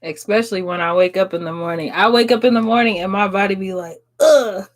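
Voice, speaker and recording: A woman's voice: mid-range voice, a little fast. A woman, chatting frankly and casually on a live stream, swearing and laughing often. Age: 30s. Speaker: livestream host answering viewers.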